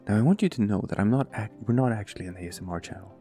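A male podcast co-host with a booming voice, talking softly and slowly right next to the microphone in casual chat, often chuckling. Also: faint music behind. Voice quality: booming, gravelly voice. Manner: soft, close-mic murmur, chuckles often. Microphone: close studio mic.

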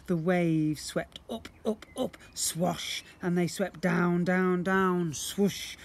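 A man in his fifties reading a story to young children in an expressive way, playing up the sound words, recorded outdoors at arm's length. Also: a little high. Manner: expressive, acting out sound effects. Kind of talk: animated read-aloud storytelling for young children. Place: outdoors, beside brick houses. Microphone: phone or camera at about arm's length.

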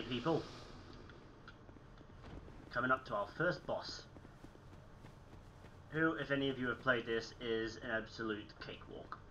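A man's voice: medium pitch, bright, forceful tone, highs cut off, slight background noise. A young man with a slightly phlegmy, sick-sounding voice, chatting casually over his gameplay from a small room at home. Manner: chatty, self-mocking, frequent throat clearing. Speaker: gaming channel host.

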